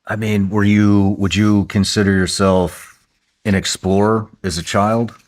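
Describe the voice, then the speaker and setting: A man's voice: on the low side, flat delivery, a little slow, no room sound, clean studio sound. A man hosting an interview podcast, speaking casually and often stopping to repeat his words. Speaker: podcast host.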